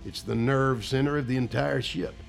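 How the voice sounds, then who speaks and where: An actor playing a steady, loyal general in charge of a secret project, gravelly, in an audio drama. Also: male voice, mid-range voice, moderate pace, soft background music, natural voice. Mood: assured.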